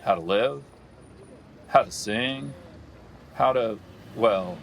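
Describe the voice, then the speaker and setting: A man's voice: lowish voice, slow pace, vocal fry, rough recording. A man playing a laid-back, cosmic drifter in a comedic audio drama, speaking in a folksy, poetic, cagey way. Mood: wistful, cagey. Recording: faint background vehicle noise.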